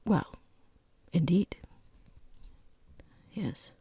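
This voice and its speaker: A woman playing a rude, condescending female boss interviewing a job applicant in soft-spoken ASMR, half-whispered, with dry, sarcastic politeness. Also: extremely slow. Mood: dryly patronizing.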